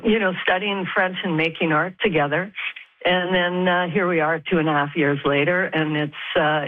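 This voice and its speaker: A program organizer speaking casually and upbeat in an interview, with many ums and you-knows. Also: mid-range voice. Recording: tinny phone line.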